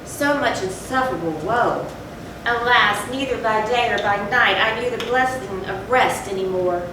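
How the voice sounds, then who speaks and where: A woman playing a guilt-tormented narrator of a gothic horror tale in a deliberate, theatrical stage recitation. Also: natural voice. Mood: anguished. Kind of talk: dramatic readers-theatre recitation of a gothic story. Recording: very echoey stage, distant mic, noisy rumble.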